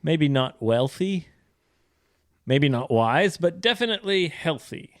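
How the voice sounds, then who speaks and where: A man in his thirties co-hosting a casual chat, playful and teasing, with bouncy jumps in pitch. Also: animated, slow pace, no echo, clean studio sound. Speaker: podcast co-host, English teacher.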